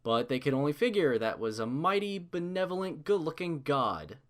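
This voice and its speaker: A man narrating a fan fiction story in an animated storytelling style. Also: a little high, normal speed.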